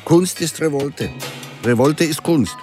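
A man's voice: on the high side, slow, music in the background. An actor playing a male artist who proclaims his creed like a recited manifesto in a radio drama. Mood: declarative.

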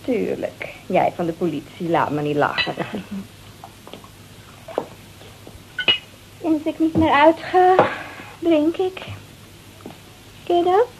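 A woman's voice: high voice, animated, unhurried, noisy background. An actress playing a brazen, flirtatious married woman who drinks sherry, teasing and mocking in a radio drama. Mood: mocking, tipsy. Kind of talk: Dutch radio drama acting.

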